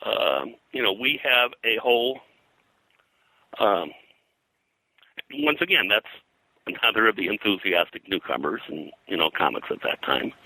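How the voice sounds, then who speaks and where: An older man reminiscing as an interview guest in a halting, digressive way, often clearing his throat. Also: lowish voice, animated, pushed and bright, no room sound, rough recording. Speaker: interview guest, comics historian.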